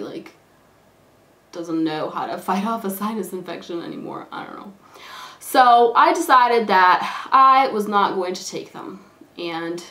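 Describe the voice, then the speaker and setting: Hoarse, stuffed-up young woman rambling. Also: medium pitch, a bit bright. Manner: rambling, filler-heavy, lots of "like" and "you know". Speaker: beauty vlogger. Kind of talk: casual chatty get-ready-with-me talk. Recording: echoey room.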